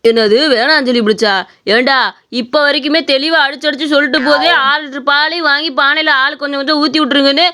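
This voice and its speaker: A woman voicing an excitable, easily scared village big brother in an animated comedy, complaining in rural Tamil Nadu speech. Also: high-pitched, quick pace. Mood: scolding. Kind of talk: animated comedy story voice acting.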